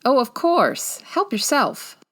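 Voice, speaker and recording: A woman playing a quick-witted, breezy female private detective with a wry, commanding manner in a 1940s-style radio comedy. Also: high-pitched, big pitch swings, full character voice. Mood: breezy, confident.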